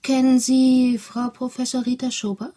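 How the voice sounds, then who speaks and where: An actress in a radio drama playing a haughty, brusque receptionist at an official society, with a rough, husky voice. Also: female voice, high voice, level tone, normal speed, mellow. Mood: snooty. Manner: snooty, brusque, condescending.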